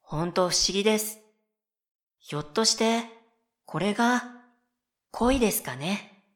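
A woman voicing a polite, whimsical and stubborn middle-school girl in a comedic radio drama, deadpan and following her own rhythm. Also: mid-range voice, a little slow, lightly acted. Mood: earnestly deadpan. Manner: polite speech, deadpan, self-paced.

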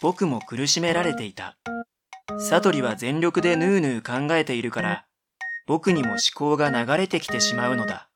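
A man's voice: on the high side, expressive, moderate pace, close to an everyday voice. Dry-witted schoolboy over music played by a man. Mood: dry narration. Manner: dry, matter-of-fact retorts. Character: exasperated, dry-witted middle-school boy narrator, telepath. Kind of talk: first-person narration and comedic radio drama dialogue.

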